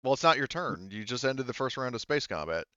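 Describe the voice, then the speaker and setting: A man's voice: medium pitch, lively intonation, a little fast, sharp tone, clean tone, dry recording, muffled recording. A man running a tabletop game as game master, explaining the rules in a hesitant, backtracking way.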